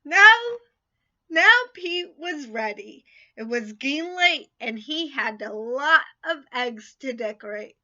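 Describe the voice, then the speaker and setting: A woman reading a children's picture book aloud in an expressive, sing-song way. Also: sits high, takes it slowly, highs cut off. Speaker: storybook reader.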